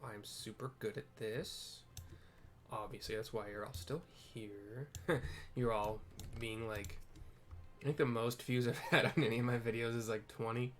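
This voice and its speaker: Man, mid-pitched, game playthrough host, casual commentary, rambling, self-deprecating, hesitant phrasing. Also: steady tone, unhurried. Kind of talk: casual commentary with improvised scene descriptions.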